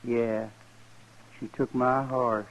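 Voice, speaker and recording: An actor playing a grieving older homesteader, halting and plain-spoken, with a rural American accent, in old radio drama style. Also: male voice, medium pitch, flat delivery, lightly acted. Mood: grieving, ashamed. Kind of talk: 1950s radio drama acting. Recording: dull, hissy old radio recording.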